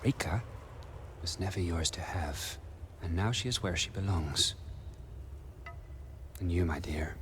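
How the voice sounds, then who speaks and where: An actor playing a smug, menacing villain in a cinematic video game, speaking in a formal, theatrical, condescending manner. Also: male voice, sits low, animated, measured pace, pauses often, a bit bright, a little hiss or hum. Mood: mocking, threatening. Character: smug, menacing male villain and faction leader.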